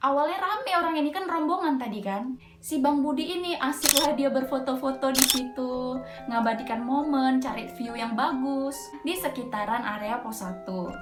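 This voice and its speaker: A woman's voice: high voice, normal speed. A young woman with a Medan accent, telling a mountain ghost story in a chatty, slangy way with lots of filler words. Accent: Medan Indonesian. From Medan, Indonesia. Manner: chatty, slangy, tosses in frequent filler interjections. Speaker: storyteller host. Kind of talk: casual horror storytelling. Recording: echoey room with background music.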